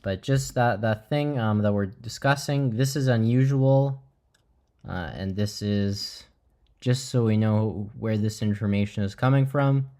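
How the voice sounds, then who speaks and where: A man hosting a livestream with casual live commentary, speaking in a measured, hesitant way. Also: on the low side, a little mellow. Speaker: livestream host.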